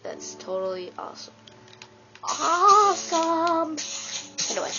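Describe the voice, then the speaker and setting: A woman with a stuffy nose gives casual, rambling commentary while she plays a video game, excitedly talking to herself. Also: very high-pitched, slow. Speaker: let's play gamer. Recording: dull lo-fi with game music.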